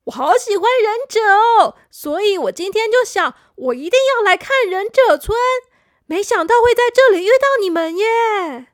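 A woman voicing an excitable little boy in a children's story, eager and a bit whiny. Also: very high voice, a little slow, no room sound, clean studio sound, voice pushed up, heavily acted. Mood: excited.

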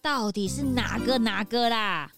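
A woman's voice: fairly high pitch, a little slow, over music. A woman playing a chatty, impatient young detective's assistant who butts in with exclamations, in a children's audio drama. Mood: excitable.

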